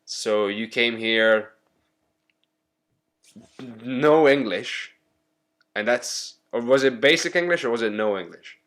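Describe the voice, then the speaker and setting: A man hosting a podcast who talks in a relaxed, encouraging way and thinks out loud while asking questions. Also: medium pitch, slow, pauses often. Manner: chatty, encouraging, thinks aloud. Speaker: podcast host. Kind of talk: casual interview chat.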